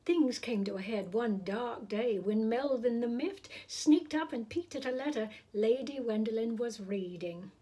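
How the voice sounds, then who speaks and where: A middle-aged woman reading a children's story aloud in a deliberate, theatrical, playful way. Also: a little high, lively intonation, warm tone, slightly rough. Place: small room indoors.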